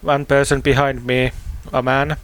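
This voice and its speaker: A man from Finland with a Finnish accent, a native Finnish speaker, preaching a sermon in halting, deliberate phrases. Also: medium pitch, flat delivery, fairly bright tone, a little hiss or hum. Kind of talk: sermon with scripture reading and personal testimony.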